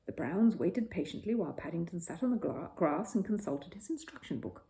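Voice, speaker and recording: A woman's voice: fairly low pitch, very animated, a little fast, gravelly, warm tone. A woman reading a children's bedtime story as a storyteller, in scripted narration.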